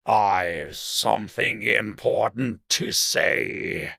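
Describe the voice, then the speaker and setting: A man voicing a sleepy, stern and contemptuous caterpillar in a dramatized storybook, with terse, drawn-out speech. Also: fairly low pitch, gravelly, bright, forceful tone, no room sound, clean studio sound, exaggerated voice. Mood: languid, curt. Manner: slow, drawn-out, terse, haughty.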